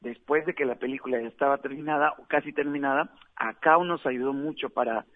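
A man's voice: medium pitch, fairly quick, no echo. Mexican guy chatting about his film, laid-back, lots of fillers, thin phone-line sound. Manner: relaxed, chatty, frequent fillers, laughs easily. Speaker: film director. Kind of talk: radio interview. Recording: tinny dry phone line.

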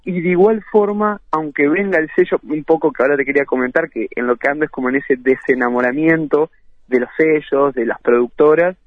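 A Uruguayan man, a musician guest, chats over a tinny phone line with a slightly high voice. He laughs easily and restarts his sentences as he talks. The sound is dry and close. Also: fairly quick, dry recording. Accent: Uruguayan Spanish. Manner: talkative and laughing, restarts often. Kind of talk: casual radio interview.

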